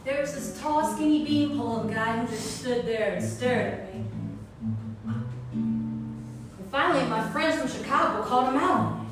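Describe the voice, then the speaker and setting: A woman performing an autobiographical stage monologue, telling it expressively and dramatically with drawn-out words. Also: fairly high pitch. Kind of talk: theatrical autobiographical monologue, loosely improvised. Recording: very echoey, distant, music behind.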